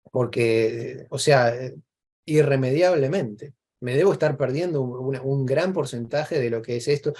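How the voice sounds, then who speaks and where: A man's voice: medium pitch, warm tone, husky. A man with an Argentine accent in casual, reflective conversation as an interview guest, explaining things discursively with examples.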